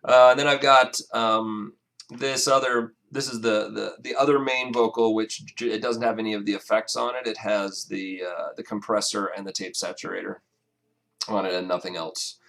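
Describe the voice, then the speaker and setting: Gravelly low-voiced man explaining a mix. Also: steady tone, a bit bright. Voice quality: raspy. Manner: halting, many fillers and restarts. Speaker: music producer. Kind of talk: casual screen-recorded mixing walkthrough.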